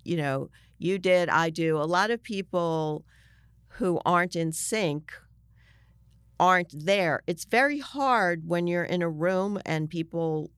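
A woman's voice: fairly low pitch, slow, smooth, dry recording. Woman in earnest conversation, dry close sound. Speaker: journalist guest. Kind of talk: conversational interview discussion.

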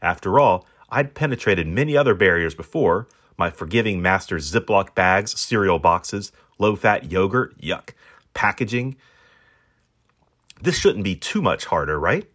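A man's voice: low voice, expressive, a little slow, a bit bright, full character voice. A man reading as a pampered, proud, hungry stranded dog, narrating in a wordy, wry, self-important manner. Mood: determined. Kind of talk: first-person storybook narration in character.

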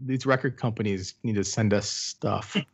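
A man's voice: on the low side, normal speed, breathy edge. A man co-hosting a podcast with informal, wry conversational banter. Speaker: podcast co-host. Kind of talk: casual banter.

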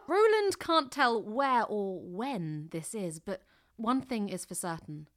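A woman acts as the narrator of an audio play, setting uneasy scenes. Her delivery is slow and deliberate, with lively swings in a mid-range voice. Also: medium pitch. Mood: measured suspense. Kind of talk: scripted dramatic narration.

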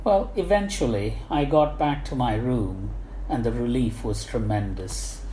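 A woman reading a humorous first-person anecdote aloud with measured, wry understatement, like a storyteller. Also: extremely low pitch, warm tone. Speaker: guest reader of book excerpts. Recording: roomy echo, faint background noise.

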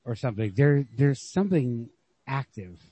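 Husky, mellow-voiced man chatting about stories. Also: mid-range voice, lively intonation, normal speed, dry recording. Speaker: podcast host. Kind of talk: casual literary discussion. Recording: lo-fi home recording.

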